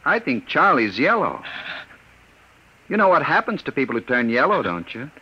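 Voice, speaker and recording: A man's voice: medium pitch, sing-song, moderate pace, breathy edge. A cold crime boss in an old radio thriller. His delivery swings dramatically up and down, taunting and commanding, on a dull vintage recording. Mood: taunting menace. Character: cold, mocking male gang boss.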